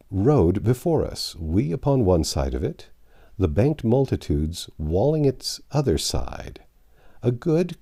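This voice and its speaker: A man's voice: on the low side, mellow, husky. A man reading a classic novel aloud as narrator, with a dramatic storytelling delivery. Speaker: audiobook narrator.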